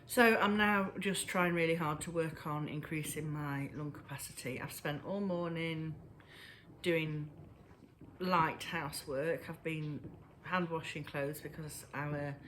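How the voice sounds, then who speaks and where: A woman chatting casually to camera about her knitting, a little breathless and wheezy, pausing often as she talks. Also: deep voice, a little hiss or hum. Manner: halting, pauses often. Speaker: knitting vlogger. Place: at home indoors.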